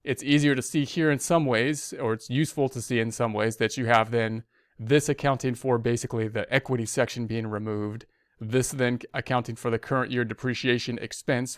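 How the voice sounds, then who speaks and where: A man's voice: medium pitch, steady tone, brisk, clear, resonant tone, dry recording, clean studio sound. A man giving an informal tutorial, talking through each step conversationally and repeating himself as he goes. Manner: conversational, repetitive, talks through steps as self-directed speech. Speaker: accounting instructor. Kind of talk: informal spoken tutorial walkthrough.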